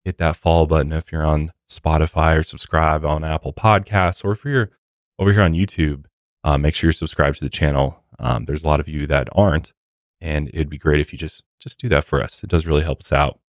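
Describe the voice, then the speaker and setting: A man's voice: a bass voice, steady tone, brisk, no room sound, clean studio sound. A man with an American accent hosting a podcast, chatting casually to open the show. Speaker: podcast host. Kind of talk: casual podcast intro and chat. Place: podcast studio.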